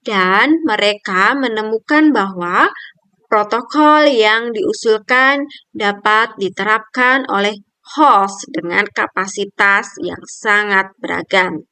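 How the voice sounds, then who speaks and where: A young woman presents a scripted review at an unhurried pace. She reads carefully, point by point, in a mid-pitched voice. The sound is dry, with very little room in it. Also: sharp tone, smooth, dry recording. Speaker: student presenter.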